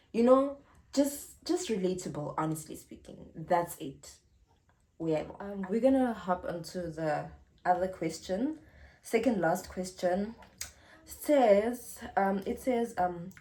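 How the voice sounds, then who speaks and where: Young woman, South African accent, twenties, lowish voice, slow and drawn-out, giggly casual vlog chat. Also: a little mellow. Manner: chatty and giggly, drawn-out pauses. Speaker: YouTuber.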